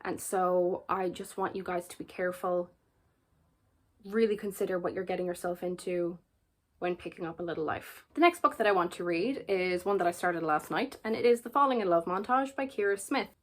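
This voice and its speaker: A woman's voice: lowish voice, a little fast. A woman in her twenties with an Irish accent, chatting earnestly about books to camera, often repeating words for emphasis. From Ireland. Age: 20s. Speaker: book vlogger. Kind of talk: casual book chat to camera.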